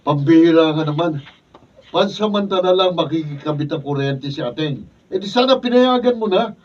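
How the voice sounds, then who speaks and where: Actor playing exasperated husband, male, Filipino accent, somewhat high pitch, radio drama, distant recording. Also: expressive, moderate pace, close to an everyday voice. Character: exasperated husband pressing his wife to give in. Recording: distant, muffled room recording.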